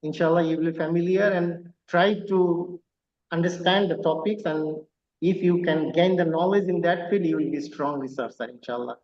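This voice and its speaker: A man's voice: on the high side, normal speed, echoey room, muffled recording. A man from Bangladesh, co-hosting an online lecture and giving formal, courteous closing remarks with many hesitant fillers. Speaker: co-host, visiting assistant professor.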